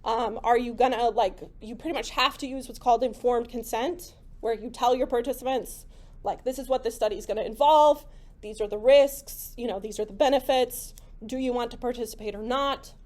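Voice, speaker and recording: A woman lecturing a class in a loose, chatty way, often checking in with the room. Her voice sits high and is going a little hoarse. She sounds like she is in her thirties. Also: a little fast, a little mellow. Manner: conversational, many "like" and "right?" fillers. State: slightly hoarse, losing her voice. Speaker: psychology lecturer.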